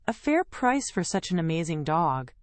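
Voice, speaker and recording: Fairy-tale dad played by a woman: humble, loving, earnest, animated delivery. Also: on the low side, normal speed, no room sound. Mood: agreeable. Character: hard-working, caring father, humble and loving.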